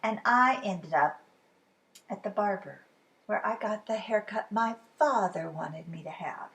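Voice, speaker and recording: A woman reading a children's story aloud as expressive storytime narration, animated and with dramatic pauses. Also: medium pitch, low-quality recording. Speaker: storybook reader.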